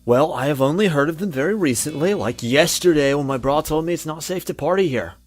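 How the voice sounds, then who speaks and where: An actor voicing a laid-back, spacey young party dude who talks in slangy, unbothered fashion in a visual novel. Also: male voice, fairly high pitch, fairly quick, soft background music, lightly acted. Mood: breezy, spacey.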